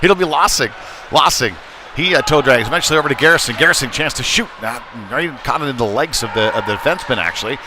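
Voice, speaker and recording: A man giving live ice hockey play-by-play in short clipped calls with hesitant pauses. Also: medium pitch, expressive. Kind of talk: live sports commentary. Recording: clear background noise, live rink ambience. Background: ambient sports venue noise.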